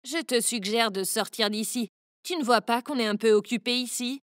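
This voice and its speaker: A woman's voice: a little high, moderate pace, dry recording, studio quality, close to an everyday voice. A woman voicing a taunting young male street thug who speaks in a mocking, menacing way.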